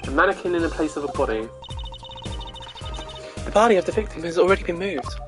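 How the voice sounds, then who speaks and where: A woman's voice: lowish voice, lively intonation, quick pace, music in the background, lightly acted. A woman voicing an earnest, exasperated young male lawyer from a video game, with a dry, slightly flustered delivery. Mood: grave, pondering. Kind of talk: video game character read-aloud.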